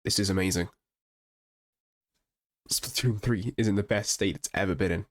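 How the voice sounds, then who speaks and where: A man with a croaky voice from being a little sick, casually reviewing game patch notes with upbeat enthusiasm and frequent restarts. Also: fairly low pitch, moderate pace. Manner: chatty and enthusiastic, with ums and restarts. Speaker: gaming channel host.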